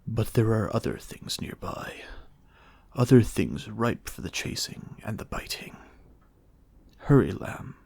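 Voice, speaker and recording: A man's voice: fairly low pitch, lively intonation, slow, creaky, long pauses, darkish tone, breathy edge, no echo, full character voice. A man voicing a gleeful predatory spirit wolf from a storybook, whispery and growling, menacing and playful in simple words. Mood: hungry. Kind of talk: storybook character reading.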